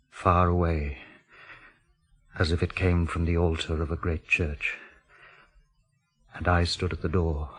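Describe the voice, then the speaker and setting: A man playing a solemn visionary in a radio drama, intoning gravely about divine wrath. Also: low-pitched. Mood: grave, haunted. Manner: grave, incantatory, slowly intoned.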